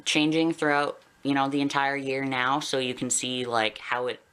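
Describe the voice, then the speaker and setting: A man's voice: mid-range voice, flat delivery, moderate pace, heavy background noise. A young adult man with a Canadian accent talking casually and candidly to viewers in a personal vlog, rambling a little. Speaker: video blogger.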